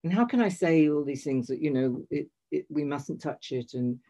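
A woman's voice: low-pitched, expressive, normal speed, mellow. An older woman telling a long personal story in conversation, hesitant, restarting and correcting herself often. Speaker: guest.

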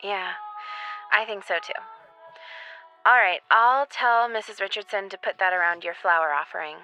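A woman's voice: mid-range voice, moderate pace, pushed and bright, soft background music, rough recording. An actress playing a sassy, affectionate woman friend with casual, slangy speech, in a naturalistic audio drama. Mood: sympathetic.

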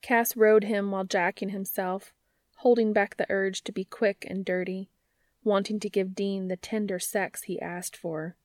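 A woman's voice: medium pitch, level tone, measured pace, no room sound, clean studio sound. A woman narrating scripted fiction as an audiobook reader.

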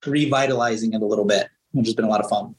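A man's voice: on the low side, steady tone, rapid-fire, darkish tone, low-quality recording. A man in his thirties, a native Greek speaker, chatting as a podcast guest with long enthusiastic run-on sentences. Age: 30s. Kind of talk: casual interview chat.

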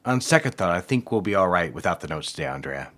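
An actor playing a cold, demanding office boss who speaks in a curt, controlled, commanding way in an audio drama. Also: male voice, sits low, expressive, fast talker, fairly bright tone.

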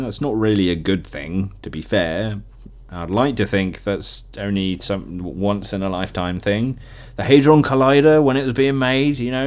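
A man's voice: medium pitch, a little mellow. A man hosting a podcast in a casual, chatty solo style, with a jokey manner. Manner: jokey, laughs often at own remarks; acts out imagined quotes. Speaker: podcast host.

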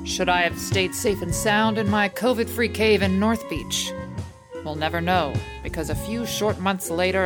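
A woman playing a brisk, composed production manager who narrates a mystery radio play in a controlled, noir storyteller's way. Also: lowish voice, close to an everyday voice. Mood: suspenseful narration. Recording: music bed behind the voice.

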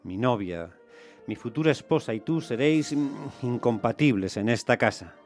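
Actor as honourable master of the house for radio drama: mid-pitched, earnest, weighing words, light music underneath. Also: male voice, animated, lightly acted. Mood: hesitant, thoughtful. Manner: hesitant, deliberate phrasing. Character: gentleman master, earnest, deliberate, honourable convalescent suitor. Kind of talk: radio theatre acting.

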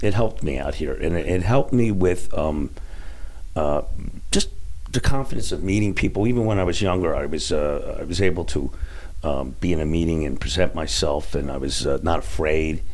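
A man's voice: very deep voice, moderate pace, darkish tone, rough recording. A man in his fifties with a Philadelphia accent, a podcast guest telling wry, self-mocking stories and laughing often. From Philadelphia suburbs. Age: 50s. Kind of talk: casual interview chat.

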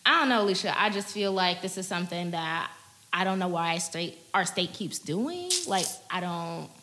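Casual woman co-host, lowish bright voice. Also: expressive, moderate pace. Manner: conversational, hesitant, filler-heavy. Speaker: podcast co-host. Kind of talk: casual podcast chat.

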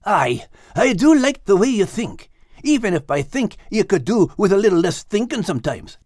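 Hearty Scottish storybook dog, protective, teasing, blunt, raised pitch, very animated. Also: male voice, normal speed, dry recording, clean studio sound. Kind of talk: animated audiobook character reading.

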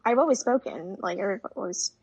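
A woman's voice: medium pitch, expressive, brisk, darkish tone, husky. A young woman talking as a guest in a casual conversation, with a chatty manner full of fillers. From United States. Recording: dull lo-fi audio.

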